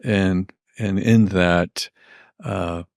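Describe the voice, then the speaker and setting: A middle-aged man hosting a casual interview, speaking in halting, thoughtful phrases that trail off. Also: low voice, steady tone, creaky, a little mellow, no room sound, studio quality. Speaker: podcast host.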